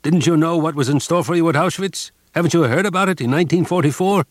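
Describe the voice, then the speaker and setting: A man's voice: fairly high pitch, fast talker, dry recording, clean studio sound, voice pushed up, lightly acted. A man voicing a brutal male camp prisoner who screams with hysterical fury at new arrivals. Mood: furious.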